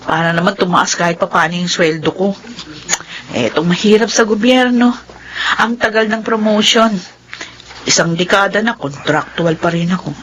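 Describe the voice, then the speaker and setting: A woman's voice: lowish voice, moderate pace, a bit bright, natural voice, low-quality recording. A woman playing a hopeful, weary working mother of twins in a radio drama, earnest and motherly. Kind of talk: naturalistic radio drama acting.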